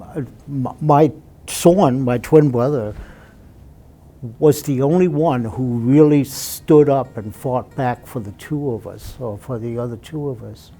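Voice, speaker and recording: Unhurried older Danish-born man recalling. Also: medium pitch. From Denmark. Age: 70s. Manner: unhurried, conversational storytelling with frequent fillers. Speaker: interviewee. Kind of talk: oral history interview. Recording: soft guitar music underneath.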